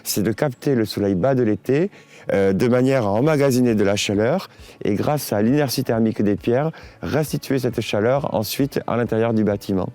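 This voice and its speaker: A man presenting a project as an architect, explaining in deliberate, halting phrases. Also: medium pitch, warm tone.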